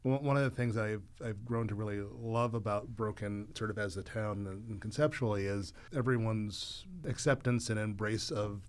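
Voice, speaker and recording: A man speaking as an interview guest, thoughtful and halting, choosing his phrases as he goes. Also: on the low side, steady tone. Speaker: podcast guest, voice actor. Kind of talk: casual interview chat.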